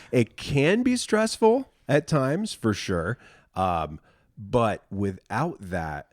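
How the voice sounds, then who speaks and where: A man's voice: medium pitch, big pitch swings, very slow, no room sound, clean studio sound. A man chatting casually as a podcast guest and musician, telling things in an easygoing, matter-of-fact way. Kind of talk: casual chat.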